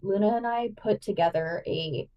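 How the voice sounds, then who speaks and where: Woman co-host, casual and excitable, filler-heavy, slightly off-mic. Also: medium pitch, moderate pace, far from the mic, lo-fi sound. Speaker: podcast co-host. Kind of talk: enthusiastic explaining in casual chat.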